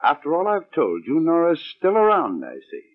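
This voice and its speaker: An actor playing a scheming, menacing husband in a 1940s radio drama, speaking in an insinuating, emphatic way. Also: male voice, on the high side, huge pitch swings, moderate pace, heavily acted. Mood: impatient. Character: scheming, menacing husband and would-be playwright, smooth then impatient. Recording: muffled, band-limited old radio recording.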